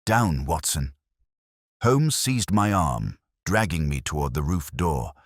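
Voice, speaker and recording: A man reading a scripted first-person mystery story as narrator, with a dramatic, measured delivery. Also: sits low, very animated, no echo, studio quality. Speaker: audiobook narrator.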